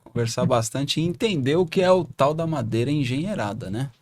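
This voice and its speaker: A man's voice: mid-range voice, expressive, a little fast, darkish tone, a little hiss or hum. A man hosting a podcast, wrapping up the talk in a friendly way and often adding "right?" to his sentences. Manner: friendly, leans on "né?" and "aí". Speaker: podcast host. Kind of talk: casual podcast wrap-up.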